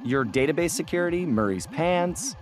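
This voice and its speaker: A man voicing a cocky, easygoing young raccoon thief, telling his story wryly in an animated video game. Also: on the high side, normal speed, lightly acted. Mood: teasing. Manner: wry, smooth storytelling. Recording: clear music bed behind.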